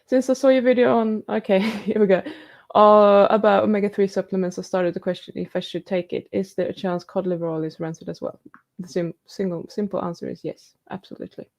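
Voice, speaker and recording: A woman hosts a live question-and-answer stream, reading out viewer comments and answering them in an easygoing, chatty way. Her voice is mellow and dark in tone, with a gravelly fry running through it. She talks at an ordinary pitch, as if into a computer mic. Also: steady tone, brisk. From New Zealand. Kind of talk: casual live Q&A chat.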